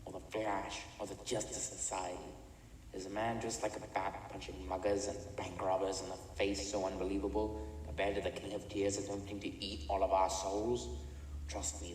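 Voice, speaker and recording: An actor in an audio drama playing a remorseless older criminal chemist, speaking in a measured, haughty, matter-of-fact way. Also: male voice, deep voice, darkish tone, close to an everyday voice. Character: cold, remorseless older male villain, an imprisoned mad chemist. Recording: echoey reverberant room, distant, faint noise.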